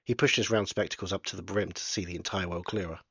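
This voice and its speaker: A man's voice: sits low, fairly even intonation, fast talker, creaky, fairly bright tone, slightly rough, no echo, lo-fi sound. A man reading aloud from his own novel in a plain, unpolished way. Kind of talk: storybook narration.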